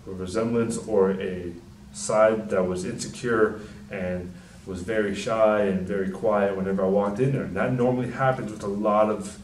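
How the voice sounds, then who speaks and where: A young man, a personal trainer, giving casual, rambling fitness advice in a deep, soft-spoken, mumbling voice, swearing freely. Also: steady tone, moderate pace, roomy sound, far from the mic, a little hiss or hum, poor audio. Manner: laid-back, rambling, frequent swearing and fillers. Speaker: personal trainer and channel host.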